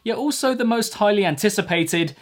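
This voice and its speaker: A man's voice: high voice, animated, brisk. A man delivering a semi-scripted spoken music review as the reviewer, articulate and opinionated in his phrasing.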